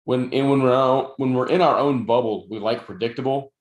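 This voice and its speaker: A man's voice: mid-range voice, fairly quick. A man co-hosting a podcast, explaining points firmly with dry humor in casual chat. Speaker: podcast co-host. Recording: roomy echo.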